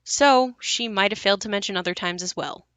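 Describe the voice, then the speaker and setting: An actress plays a worried grown daughter telling family memories in an audio drama. Her voice is bright and forward, close and dry, with a conversational, matter-of-fact delivery. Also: medium pitch, a little fast, no room sound, close to an everyday voice. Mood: worried, confiding. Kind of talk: audio play monologue, conversational.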